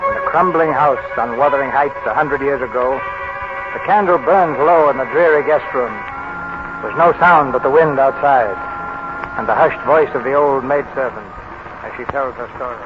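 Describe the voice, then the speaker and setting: A man's voice: mid-range voice, moderate pace, natural voice. A man reading scripted scene-setting narration as an announcer in 1930s radio drama. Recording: muffled 1930s radio recording. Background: loud music.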